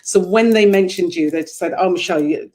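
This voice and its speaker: Effusive middle-aged American woman host on a video call, warm and expressive talk. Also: fairly low pitch, a little fast. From United States. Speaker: interview host. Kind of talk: casual interview chat. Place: home room, on a video call.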